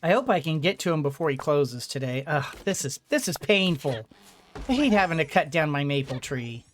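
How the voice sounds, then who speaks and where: A man's voice: fairly high pitch, animated, moderate pace, a little hiss or hum. Rambling man doing game commentary. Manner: rambling, thinking out loud, talking to the game. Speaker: gaming video host. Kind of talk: casual let's play commentary.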